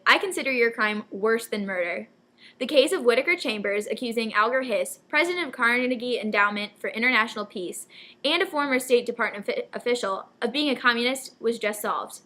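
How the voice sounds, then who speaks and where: A woman's voice: a little high, sharp tone. A young woman anchoring a scripted newscast, reading out stories as narration. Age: young adult. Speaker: news anchor.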